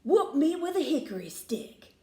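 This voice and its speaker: A woman's voice: high-pitched, sing-song, normal speed, warm tone, reverberant, pitched above the performer's own voice. A woman voicing a swaggering male coyote pack leader from a Western storybook, with a drawling cowboy delivery. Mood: impressed. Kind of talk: folksy Western storybook character.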